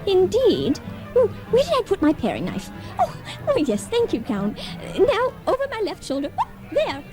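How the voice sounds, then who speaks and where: Radio drama role: fluttery, affected society dame, extremely high and swooping, over music. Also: female voice, huge pitch swings, normal speed, full character voice. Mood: flustered. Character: flighty, fussy, tippling society lady, superstitious. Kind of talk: old-time radio play acting.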